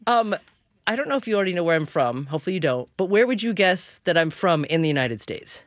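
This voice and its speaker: A woman's voice: lowish voice, very animated, fast, no room sound. A woman hosting a public radio interview show, conversational and repeating words as she thinks aloud on a studio microphone.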